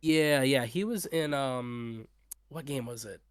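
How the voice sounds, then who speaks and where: A man's voice: mid-range voice, slow pace, smooth. A young man talking casually as an interview guest, agreeable, slangy and enthusiastic. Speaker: guest on an interview, horror series creator. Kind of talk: casual chat.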